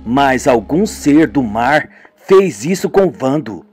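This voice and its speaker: A man voicing a shaken young man who takes charge, with urgent, hurried speech, in a homemade radio drama. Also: medium pitch, sing-song, darkish tone, soft background music. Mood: alarmed urgent. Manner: urgent, hurried when giving orders.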